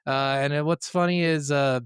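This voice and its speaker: A man's voice: a little high, fairly even intonation, normal speed, smooth, no room sound, clean studio sound. A man co-hosting a gaming podcast, speaking informally and given to swearing, partly working from notes. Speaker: podcast co-host.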